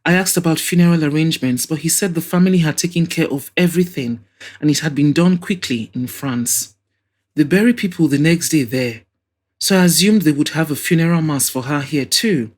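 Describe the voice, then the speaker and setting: A woman's voice: deep voice, darkish tone. A woman voicing an effusive, nostalgic older mother and hostess in an audio drama. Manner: effusive, exclaiming, reminiscing.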